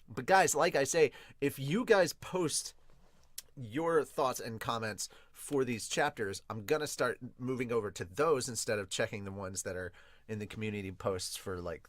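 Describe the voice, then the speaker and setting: A man's voice: mid-range voice, fairly bright tone, dry recording. A man hosting a manga review podcast, talking casually with gushing fan enthusiasm and some mild swearing.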